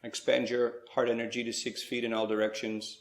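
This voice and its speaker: A man leading a slow guided spiritual meditation, speaking in measured short phrases with pauses between them. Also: mid-range voice, little pitch movement, roomy sound.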